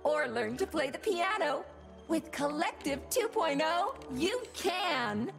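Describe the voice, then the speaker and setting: A woman's voice: high-pitched, animated, takes it slowly, gravelly, over music, full character voice. A woman voicing a cheerful, unflappable robot guide in a video game, formal and courteous as she instructs. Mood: bright, promotional. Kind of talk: scripted video game announcements.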